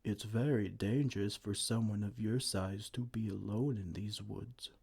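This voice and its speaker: A man voicing a gentle, kind male giant who talks softly and soothingly in an ASMR roleplay. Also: fairly low pitch, steady tone, unhurried, vocal fry, husky, heavily acted. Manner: soft, soothing, comforting.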